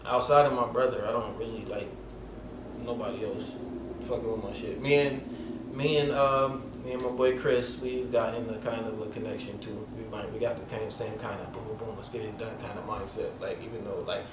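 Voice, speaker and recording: A man's voice: fairly low pitch, fairly even intonation, normal speed. A man with a light voice hosting a casual interview, talking loosely with lots of slang and "like" fillers. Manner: loose and rambling, heavy on filler "like" and street slang. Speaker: podcast host. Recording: echoey room, mic at a distance, noisy background.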